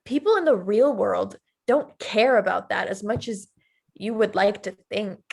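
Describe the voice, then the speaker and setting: A woman's voice: medium pitch, unhurried, creaky, a little mellow, breathy edge. A woman reading the part of a fed-up teenage girl confronting her friends. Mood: indignant. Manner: indignant, confrontational. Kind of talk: table read of a teen drama.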